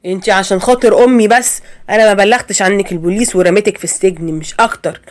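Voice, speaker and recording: A woman with an Egyptian accent voicing a young husband, earnest and insistent, in her own fairly high voice. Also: racing, lightly acted. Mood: firm. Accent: Egyptian Arabic. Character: young husband, shocked and resolute.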